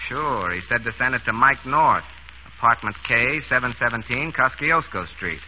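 An actor playing a tough, sardonic gambling racketeer in an old-time radio drama, talking in curt slang. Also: male voice, medium pitch, expressive, normal speed, lightly acted. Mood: grudging. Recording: muffled, noisy vintage radio recording.

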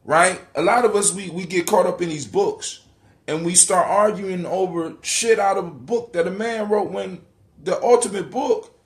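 A middle-aged man with an American accent and a fairly high voice talks casually to his livestream. He is conversational and loose, repeating phrases to drive them home and swearing freely. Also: normal speed. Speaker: livestream host. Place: dim living room indoors. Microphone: phone or webcam at arm's length.

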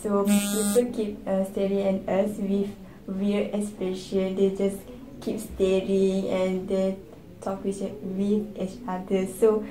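Young Malaysian woman, slow flat talk. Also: mid-range voice. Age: young adult. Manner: halting, filler-heavy, tag questions. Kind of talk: student group reflection discussion. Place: indoor meeting room at a table. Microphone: far camera. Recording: echoey room with background noise.

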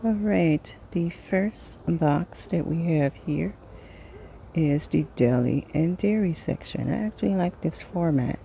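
Slow, mellow-voiced woman with creaky tone. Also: low-pitched, a little hiss or hum. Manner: unhurried, item-by-item, pausing between phrases. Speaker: vlogger reading a grocery sales flyer. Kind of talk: casual product read-through.

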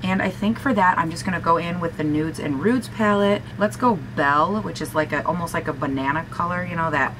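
A raspy young woman in her twenties chats her way through a makeup tutorial in a small room at home, gushing over colors and teasing herself. Her voice sits a little low, and a faint hiss runs under her close camera mic. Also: fairly quick, hardly any pauses. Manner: gushing and chatty, talks to herself. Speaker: beauty vlogger. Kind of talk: casual makeup tutorial chat.

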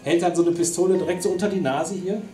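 Excitable high-voiced man, roomy workshop. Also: quick pace, soft background music. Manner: excitable and teasing, with frequent laughter and slangy outbursts. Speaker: co-host. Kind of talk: casual chat. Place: small hobby workshop indoors.

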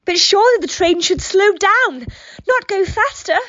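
A woman's voice: extremely high pitch, lively intonation, normal speed, fairly bright tone. A woman playing an anxious, literal-minded female assistant in an audio drama. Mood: frightened. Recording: slightly dull, lo-fi sound.

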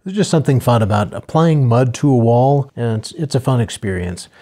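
Older male homesteader, relaxed voice-over, warm low-key tone. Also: fairly quick. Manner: plain, steady, matter-of-fact explaining. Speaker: homesteader narrating a build video.

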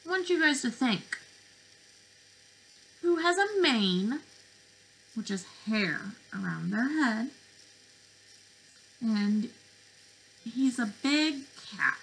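Bright-voiced young woman teaching small children. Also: on the high side, drawn-out words, pauses often, poor audio. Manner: animated, questioning delivery for young children. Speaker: speech-language pathologist reading to preschoolers. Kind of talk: interactive children's read-aloud lesson. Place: small bedroom indoors.

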